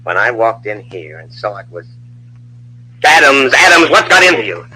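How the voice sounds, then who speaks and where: A man playing a tough, wry American Secret Service agent with a husky voice, in old-time radio style on a vintage recording. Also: mid-range voice, big pitch swings, normal speed, bright, forceful tone, slight background noise, poor audio. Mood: wary, measured.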